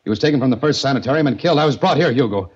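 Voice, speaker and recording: An actor playing a hard-boiled adventurer who narrates and speaks in a 1940s radio drama, with wry, tough delivery. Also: male voice, medium pitch, racing, breathy edge, close to an everyday voice. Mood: urgent. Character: hard-boiled male adventurer held captive. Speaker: narrator and lead. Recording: dull old radio recording.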